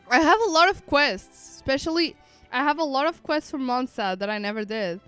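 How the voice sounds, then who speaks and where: A woman's voice: sits high, lively intonation, moderate pace, a bit bright, faint music behind. A woman game streamer giving casual, playful live commentary with somewhat hesitant phrasing.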